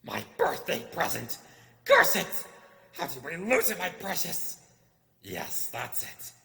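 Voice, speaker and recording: A man voicing a wretched, spiteful cave creature for a storybook reading, with a hissing, whispery rasp, muttering and repeating itself. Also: a little high, wildly swooping pitch, slow pace, sharp tone, pitched above the performer's own voice, full character voice. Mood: enraged, anguished. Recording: echoing hall-like reverb.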